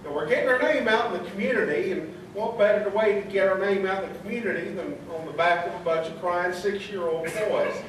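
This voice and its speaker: A man's voice: high-pitched, a little fast, hardly any pauses, noisy background, close to an everyday voice. A man playing an earnest, officious lodge secretary with a folksy, corny manner in a comic radio play. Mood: officious, jokey. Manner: officious, folksy, awkwardly jokey. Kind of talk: comic radio theatre acting. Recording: very echoey hall, distant mic.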